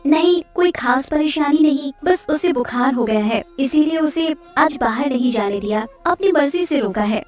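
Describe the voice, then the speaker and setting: A woman's voice: sits high, expressive, moderate pace, few pauses. A woman voicing a possessive, fearful village mother, a fisherman's wife in a cartoon story. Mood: guarded. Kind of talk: Hindi cartoon story dialogue. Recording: distant sound with faint music.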